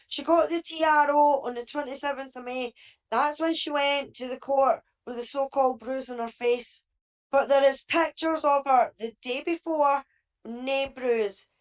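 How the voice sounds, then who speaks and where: A woman giving emphatic, indignant opinions as a panelist in a discussion over a lo-fi online call. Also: high voice.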